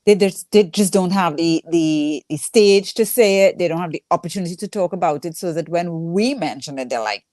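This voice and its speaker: A woman's voice: lowish voice, brisk, hardly any pauses, dark tone, no room sound, clean studio sound. A woman with a thick Trinidadian accent speaking candidly in a casual interview as a stand-up comedian, acting out reactions as she tells stories.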